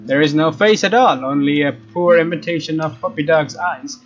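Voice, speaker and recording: Higher-voiced man reading a game story. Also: normal speed. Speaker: let's player. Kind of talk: reading visual novel narration with casual asides. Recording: dull lo-fi sound, music behind. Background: game music with guitar.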